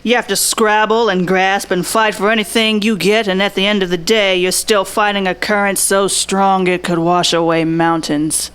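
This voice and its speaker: A woman's voice: medium pitch, fairly even intonation, normal speed, hardly any pauses, a bit bright, clean tone, lightly acted. A woman playing a blunt, observant 1940s private eye in a noir radio drama, talking tough, plain slang. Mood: earnest. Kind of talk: noir radio drama acting with inner monologue.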